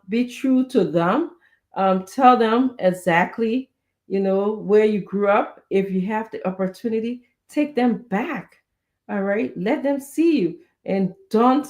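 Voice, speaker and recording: Middle-aged Liberian woman urging gently but emphatically. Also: mid-range voice. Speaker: guest speaker giving parenting advice. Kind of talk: earnest advice in a conversational online talk.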